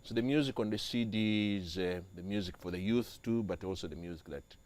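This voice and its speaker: Deep-voiced man, halting and repetitive. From Uganda. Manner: halting, repeats and restarts phrases, grows animated on liturgy. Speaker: church music director. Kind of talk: studio interview guest. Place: TV studio.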